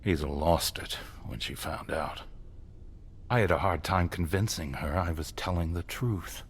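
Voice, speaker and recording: A man's voice: low voice, a little slow, husky, exaggerated voice. A man playing a grave, controlling vampire caretaker in a dramatic game cutscene, explaining things with solemn insistence. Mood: concerned. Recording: faint music behind the voice.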